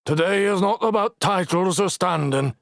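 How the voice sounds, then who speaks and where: A man's voice: high-pitched, normal speed, vocal fry, no room sound, clean studio sound, pitched above the performer's own voice. A man doing a drawling council elder. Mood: firm. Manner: thick drawl.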